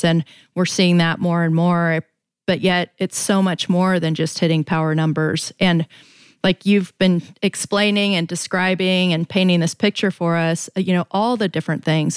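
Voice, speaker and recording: Low, clear-voiced woman hosting, close and dry. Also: dry recording. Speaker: podcast host. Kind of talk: conversational interview.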